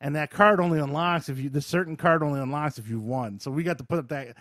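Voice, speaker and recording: A middle-aged man hosting a podcast, telling casual anecdotes in an animated, excitable way with some swearing. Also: on the high side, fast talker, no echo, clean studio sound. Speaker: podcast host.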